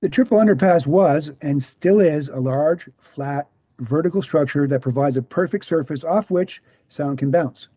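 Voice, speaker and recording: A man playing an earnest, weary veteran researcher explaining things in a measured way at a screenplay table read. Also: a little high, mellow, slightly rough, no echo. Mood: explanatory.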